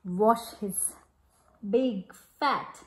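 A woman reading a storybook aloud to a child in an expressive, deliberate way, pausing to ask questions. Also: medium pitch, a little hiss or hum. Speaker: picture-book reader to a child. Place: room at home, at a glass table. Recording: echoey room.

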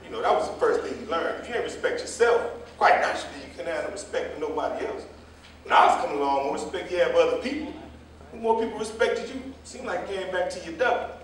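An actor playing a meddling, moralizing older cab driver in a staged reading, with a folksy, preachy, rambling way of talking. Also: male voice, high voice. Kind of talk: live staged play reading. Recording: echoey, distant stage recording with faint noise.

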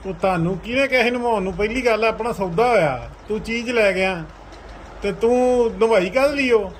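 A man with a high voice and a Punjabi accent argues like a boastful village trader. He is dismissive and keeps cutting in. The phone call recording has noise in the background. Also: normal speed. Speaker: horse trader. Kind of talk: argumentative phone call in casual rustic speech.

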